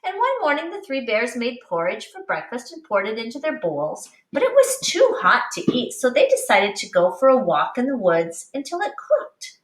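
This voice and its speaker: A woman teacher reading a picture book aloud to young children in an expressive, instructive way, pausing to explain and addressing them directly. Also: fairly high pitch. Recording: roomy, echoing, distant microphone.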